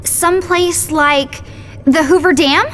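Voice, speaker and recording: A woman's voice: very high voice, fairly even intonation, slow pace, fairly bright tone, a little hiss or hum, full character voice. An actress voicing a clever, level-headed young girl in a video game, matter-of-fact and inquisitive. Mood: thoughtful. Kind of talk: video game cutscene voice acting. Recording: music behind the voice.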